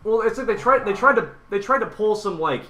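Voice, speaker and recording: A man's voice: high voice, animated, a little fast, bright, forceful tone. A man in casual podcast conversation, swearing now and then. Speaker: podcast co-host. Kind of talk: casual chat. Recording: echoey room with faint background noise.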